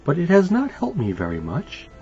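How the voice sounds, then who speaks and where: A hapless, superstitious storybook woman, played by a man, speaks in a raised, very expressive voice. The pitch moves around a lot. The recording is a little dull, with music underneath. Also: moderate pace. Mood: exasperated. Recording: dull lo-fi sound.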